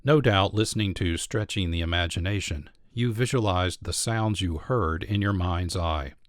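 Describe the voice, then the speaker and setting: Deep-voiced man narrating. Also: normal speed, no room sound, clean studio sound. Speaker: host narrator. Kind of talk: scripted narration.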